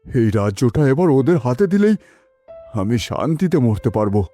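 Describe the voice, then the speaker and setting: A man playing a fatherly, aging king in an animated fairy tale. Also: mid-range voice, moderate pace, faint music behind, natural voice. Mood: dreamy, relieved. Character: aging male king, fatherly, hopeful for his heirs.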